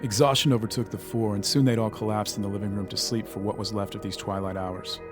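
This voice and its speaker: Guy doing solemn story narrator for audio drama, low voice, quick, faint music behind. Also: steady tone, close to an everyday voice.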